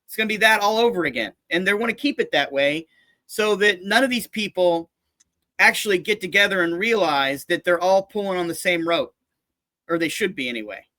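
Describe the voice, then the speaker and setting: A man's voice: sits high, a little fast, pushed and bright, dry recording. A man chatting casually about politics, rambling and restarting his sentences, dropping into mock voices for jokes.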